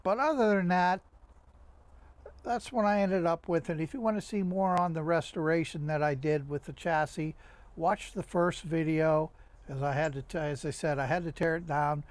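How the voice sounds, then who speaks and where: Male hobbyist presenter, slightly high-pitched voice, halting and conversational, casual unscripted project walkthrough. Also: steady tone. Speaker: hobbyist radio restorer and presenter. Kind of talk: casual how-to video narration.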